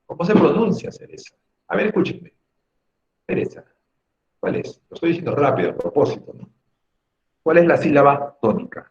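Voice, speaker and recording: A man's voice: fairly high pitch, sing-song, moderate pace, pauses often, darkish tone, highs cut off. A man teaching a class in an emphatic, didactic way, repeating key words and checking in with short tag questions. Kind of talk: Spanish lesson on accent rules for students.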